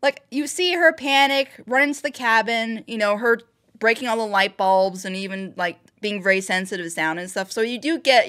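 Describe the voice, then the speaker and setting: A woman's voice: medium pitch, a bit bright. A woman hosting a reaction video, thinking aloud in rambling, casual commentary with bursts of exclamation.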